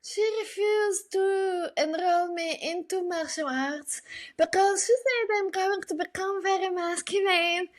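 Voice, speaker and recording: A woman from Bulgaria chatting casually as an interview guest, thinking aloud as she answers in a measured way. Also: very high voice. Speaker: podcast guest, illustrator and digital artist.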